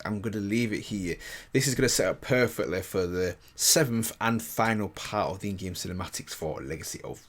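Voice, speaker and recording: A man hosting a reaction channel, giving casual, chatty commentary and repeating himself for emphasis. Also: fairly low pitch.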